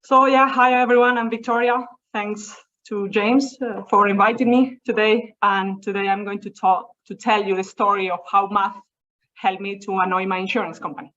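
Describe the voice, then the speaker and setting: A woman's voice: mid-range voice, steady tone, normal speed, a bit bright. A young woman with a Spanish accent gives an informal, storytelling seminar talk over a video call. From Spain. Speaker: seminar speaker. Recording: muffled video call audio.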